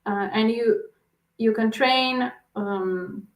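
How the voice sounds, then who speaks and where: Woman, Portuguese accent, fairly high voice, hesitant with fillers, echoey room. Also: extremely slow. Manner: hesitant, many fillers and restarts. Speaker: guest researcher. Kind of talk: answering interview questions.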